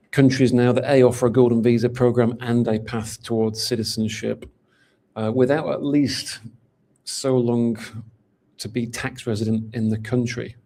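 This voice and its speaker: A man's voice: mid-range voice, fairly even intonation, normal speed, mellow. A man from England with a Manchester accent, answering interview questions in a chatty way with lots of fillers and restarts. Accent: Mancunian English. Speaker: golden visa specialist.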